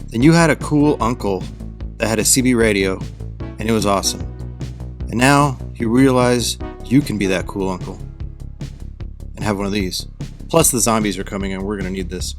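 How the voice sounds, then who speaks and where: A man in his forties narrating a casual DIY car vlog in a wry, jokey way. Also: on the low side. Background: background music.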